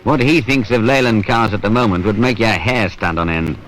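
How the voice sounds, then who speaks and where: A man acts as a wry British narrator with a fairly low voice. He grumbles conversationally like a tired working man who has seen it all. The old film soundtrack carries clear background noise. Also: moderate pace, lightly acted. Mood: wry, exasperated. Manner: colloquial, sardonic, grumbling. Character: wry, world-weary working man narrating factory troubles. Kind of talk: conversational scripted narration in a 1970s training film. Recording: noisy 1970s film soundtrack.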